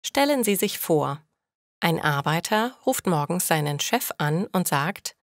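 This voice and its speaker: A woman narrating a beginner language lesson, speaking slowly and clearly for learners. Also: lowish voice, animated, dry recording, clean studio sound. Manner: slow and clearly articulated for learners. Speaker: language teacher. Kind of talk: slow scripted lesson narration.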